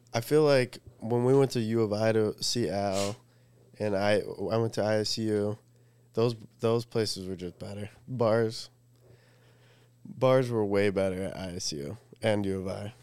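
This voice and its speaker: A man's voice: on the low side, a little mellow, clear, resonant tone, no echo. A man in his twenties, co-hosting a podcast and telling stories in a laid-back way with lots of fillers. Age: 20s. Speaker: podcast co-host. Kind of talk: casual chat.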